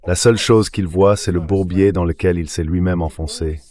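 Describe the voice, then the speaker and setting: Low-pitched man, synthetic political commentary voice-over. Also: steady tone, moderate pace, darkish tone. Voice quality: synthetic AI dubbing voice. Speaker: interview guest, political commentator.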